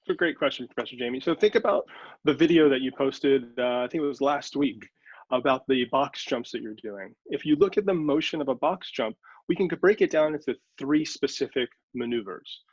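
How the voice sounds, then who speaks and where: A man's voice: medium pitch, expressive, brisk, breathy edge, no room sound. A man answering interview questions as an expert, explaining things patiently, step by step with examples. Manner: teacherly, walks through examples step by step. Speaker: sports scientist guest. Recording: dry, slightly dull audio.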